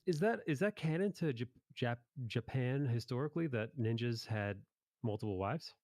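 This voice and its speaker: A man's voice: mid-range voice, expressive, moderate pace, darkish tone, dry recording. A man hosting a casual chat about anime, talking informally in rambling recaps with some swearing. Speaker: podcast host.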